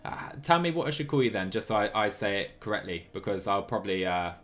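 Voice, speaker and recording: A man's voice: lowish voice, animated, fast talker, fairly bright tone. A man live-streaming a game, chatting casually and a little apologetically as he thinks aloud. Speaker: live streamer playing a cycling management game. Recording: music playing behind the voice. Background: background music.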